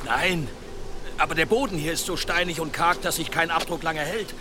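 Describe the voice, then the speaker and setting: An actor in a German radio play, playing a grieving, impatient village blacksmith bent on revenge. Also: male voice, fairly high pitch, moderate pace, natural voice. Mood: sombre, strained. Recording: noisy outdoor ambience behind voice.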